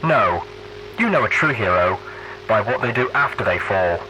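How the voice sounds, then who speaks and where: A man's voice: fairly low pitch, expressive, moderate pace, pushed and bright, slight background noise, poor audio. A man playing an earnest pony radio DJ, preaching to wasteland listeners in a folksy, solemn way, like a post-apocalyptic broadcast. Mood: solemn, rousing.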